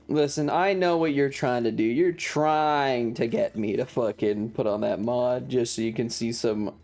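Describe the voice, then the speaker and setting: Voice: mid-range voice, a little mellow. A streamer giving casual, profane and sarcastic game commentary to a live chat on a close mic.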